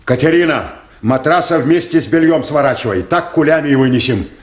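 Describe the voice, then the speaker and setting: An actor playing a gruff, bossy older boatman who barks folksy orders in a Soviet radio play. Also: on the high side, lively intonation, a little fast, echoey room, a little hiss or hum, lightly acted. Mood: brusque, commanding. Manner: brusque, folksy, barking orders.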